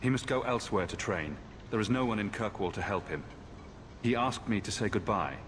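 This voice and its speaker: Calm adventurer, video game, low-pitched, steady, sympathetic, faint background noise. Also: male voice, brisk. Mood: calm, grave. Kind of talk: video game character dialogue.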